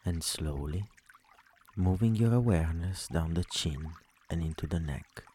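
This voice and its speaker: A man with a very deep, dark and relaxed voice guides a sleep meditation. He speaks slowly and soothingly, leaving long gaps between phrases. Faint noise like trickling water runs behind him.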